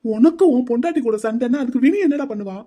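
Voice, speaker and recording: A resentful young groom, voiced by a performer in an audio drama, firing off quick accusations. The voice is mellow and dark but pushed hard. He keeps pressing his charges. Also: mid-range voice, close to an everyday voice. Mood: heated. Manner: accusing, heated.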